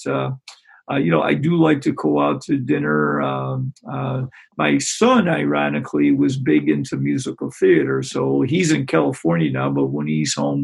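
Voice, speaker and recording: A middle-aged man from Western New York talks in a loose, halting ramble, full of restarts and fillers. His voice is heavily gravelly and a bit husky, and his pitch swings widely as he goes. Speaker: basketball coach. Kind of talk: relaxed interview conversation.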